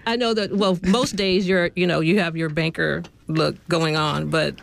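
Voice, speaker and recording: A woman's voice: on the low side, steady tone, normal speed, vocal fry. A woman hosting a casual radio studio interview, playful and teasing with her guest. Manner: playful, teasing, laughs often. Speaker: radio host.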